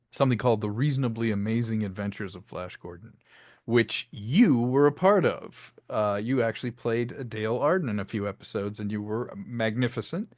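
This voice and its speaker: A man's voice: on the low side, a little mellow, dry recording, studio quality. A man speaking as an interview guest, telling stories about his creative work in a casual, chatty way with rambling asides. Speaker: interview guest, filmmaker and audio drama creator.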